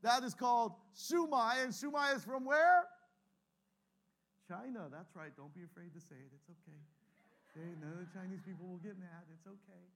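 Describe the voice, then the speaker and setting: Pastor, male, 40s. High, bright voice; playful, jokey speaker who keeps asking the room for answers. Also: normal speed. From Canada. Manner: joking, playful, calls for audience replies. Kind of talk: lively humorous talk to an audience. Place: large room with a live audience.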